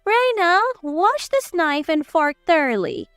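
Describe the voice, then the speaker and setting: Storybook role: a woman doing a high, sharp-voiced bossy older mother-in-law, cold and contemptuous. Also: big pitch swings, takes it slowly, no room sound, faint music behind, full character voice. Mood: cold, commanding. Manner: commanding, contemptuous.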